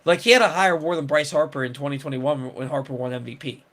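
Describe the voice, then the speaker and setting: A man talks sports in a loose, rambling way, restarting his sentences and padding them with fillers. His voice sits a little higher than most men's. He hosts the show in a casual chat style. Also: fast talker, fairly bright tone. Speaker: sports podcast host.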